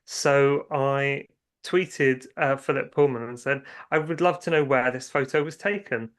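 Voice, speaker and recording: A man's voice: medium pitch, steady tone, measured pace. A man speaking as an interview guest, chatting casually about his creative work in rambling anecdotes with hesitant starts. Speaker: guest writer and filmmaker.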